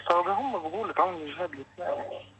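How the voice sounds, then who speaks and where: A man's voice: high voice, normal speed, bright, forceful tone, slightly rough. A man with a Palestinian Arabic accent talking casually on a phone call. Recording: muffled, very noisy intercepted phone call.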